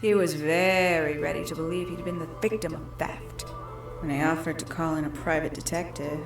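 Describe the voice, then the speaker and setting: A woman playing a calculating young villain in a video game, confessing her schemes in measured, deliberate, theatrical storytelling. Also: deep voice, little pitch movement. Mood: sly, composed. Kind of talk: scripted video game character monologue. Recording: echoey, with music behind.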